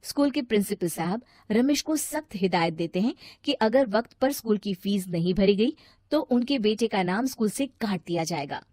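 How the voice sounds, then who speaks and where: Lady storyteller reading a scripted tale, normal pitch, no room echo. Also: expressive, normal speed, a little mellow. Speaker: storyteller narrator.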